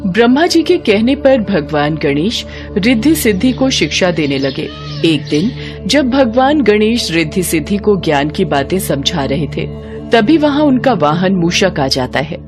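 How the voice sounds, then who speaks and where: Expressive woman narrator over music. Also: medium pitch, unhurried. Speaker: storyteller narrator. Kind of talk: scripted devotional story narration.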